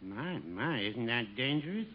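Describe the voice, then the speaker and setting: An actor playing a mild, fussy older pharmacist with a dry, hesitant manner in a 1950s radio comedy. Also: male voice, medium pitch, very animated, measured pace, a little hiss or hum. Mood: mild, droll. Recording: muffled old radio recording.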